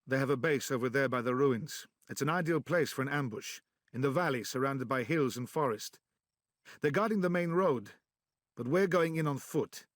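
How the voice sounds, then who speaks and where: A man's voice: mid-range voice, normal speed, no echo, close to an everyday voice. A man playing a weary resistance leader. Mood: steady. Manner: calm, dry understatement. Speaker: narrator.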